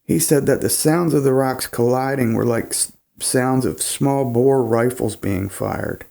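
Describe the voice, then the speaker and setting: A man's voice: mid-range voice, darkish tone. A man, hosting and casually narrating an encounter story, his voice nasal and congested from a cold, laid-back with rambling asides. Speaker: host.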